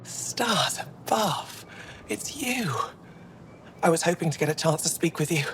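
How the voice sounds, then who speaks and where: An actress playing a warm, curious female alien curator in a video game, thoughtful and inquisitive. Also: on the low side, expressive, a little slow, slight background noise. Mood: awed, curious. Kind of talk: video game dialogue acting.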